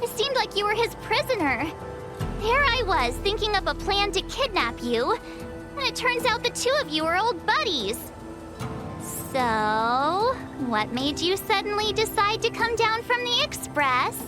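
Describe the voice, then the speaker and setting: A woman voicing a cheeky, girlish young adventurer in a game, with a perky, informal and expressive delivery. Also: very high-pitched, measured pace, music in the background, full character voice. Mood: teasing, relieved. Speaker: voice actor in a game cutscene. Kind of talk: animated game dialogue.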